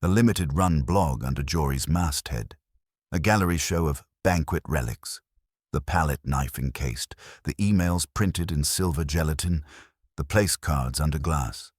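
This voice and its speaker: Man voicing a deep, smug, pretentious artist. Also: normal speed, slightly rough, dry recording, clean studio sound. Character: smug, pretentious male artist defending his schemes.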